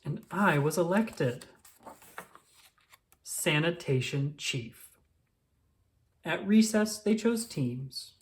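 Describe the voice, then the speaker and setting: An expressive reader narrates a children's storybook at a middling pitch. The intonation is very animated, and key phrases are drawn out deliberately. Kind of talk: storybook read-aloud, first-person child narrator.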